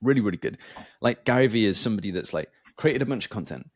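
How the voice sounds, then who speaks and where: A man's voice: on the low side, lively intonation, quick pace, slightly rough, dry recording, clean studio sound. A man hosting a podcast in casual chat, rambling with many fillers and restarts. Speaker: podcast host.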